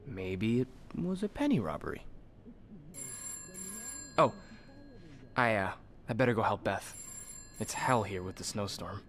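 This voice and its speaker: A man's voice: fairly low pitch, sing-song, a little slow, long pauses, fairly bright tone. A man voicing a friendly, joking young hotel worker, easily flustered, in a narrative video game. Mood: chatty, amused, then awkward, hurried. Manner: informal, joking.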